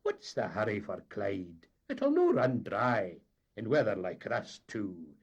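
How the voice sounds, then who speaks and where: A man's voice: fairly low pitch, wildly swooping pitch, slow, husky, muffled recording, full character voice. A man voicing a nervous, wheedling Scottish deckhand with a Scottish accent. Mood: coaxing. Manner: coaxing, persuasive. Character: wheedling anxious Scottish boat mate.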